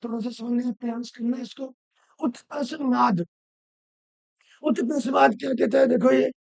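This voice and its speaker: A woman teaching Hindi grammar, hoarse from a cold, asking questions and answering them herself while repeating the rules loudly. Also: a little high, fairly even intonation, normal speed, no room sound. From Rajasthan. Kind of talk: classroom-style lesson.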